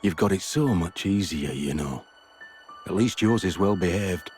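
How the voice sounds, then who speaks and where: A man playing a gruff, guarded, self-reliant loner in a video game cutscene. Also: deep voice, lively intonation, moderate pace, darkish tone, soft background music.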